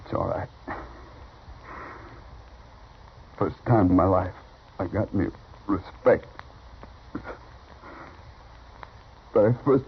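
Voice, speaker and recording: An actor playing a poor, meek, badly hurt cowboy in a 1950s radio western, speaking in halting, plain words. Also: male voice, sits low, gravelly. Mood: weak, resigned. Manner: halting, plain-spoken, humble. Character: poor, meek, dying cowboy longing for respect. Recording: noisy, muffled old radio recording.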